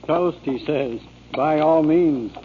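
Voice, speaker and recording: An actor playing a genial, philosophical waterfront friend with an eloquent, mannered delivery in a 1940s radio drama. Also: male voice, a little high, slow, slight background noise. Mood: convivial. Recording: muffled 1940s radio recording.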